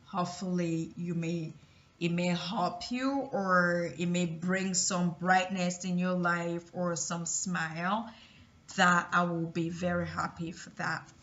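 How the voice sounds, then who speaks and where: A woman from Cameroon with a Cameroonian French accent, giving a halting personal motivational talk full of restarts. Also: on the low side, fairly even intonation, a bit bright. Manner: halting, many restarts and fillers. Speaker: motivational vlogger. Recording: roomy, distant, dull lo-fi sound.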